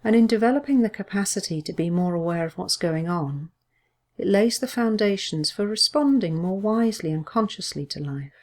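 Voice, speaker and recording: A woman leading a guided body scan meditation as a mindfulness teacher, speaking gently and in a measured, soothing way with pauses. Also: medium pitch, animated.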